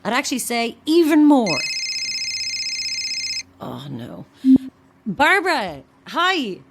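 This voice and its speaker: A woman's voice: fairly high pitch, huge pitch swings, slow, gravelly, background noise, full character voice. A woman playing a flustered, self-dramatizing struggling actress who rambles theatrically to herself in an audio drama. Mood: flustered, defensive. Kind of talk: modern audio drama acting.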